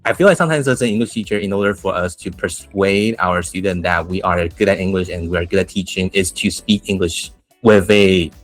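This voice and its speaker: A man with a Taiwanese accent who teaches English, chatting casually and telling animated stories with laughs and restarts. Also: sits low, fairly quick, no room sound, faint music behind. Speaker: English teacher, podcast host. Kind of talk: casual chat.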